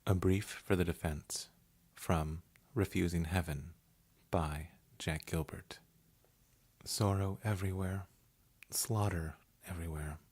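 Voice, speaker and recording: Man, very deep voice, husky texture, measured hushed narration, reading essay and poetry. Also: steady tone. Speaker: voice-over artist.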